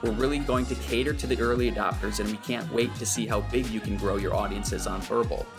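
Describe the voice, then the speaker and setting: A man's voice: fairly low pitch, steady tone, fairly quick, over music. A man speaking as a podcast host in a scripted narration style, addressing the listener directly.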